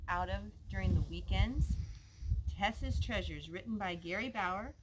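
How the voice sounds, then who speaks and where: A woman's voice: mid-range voice, unhurried. A woman reading a picture book aloud expressively to a young child in a noisy recording with clicks.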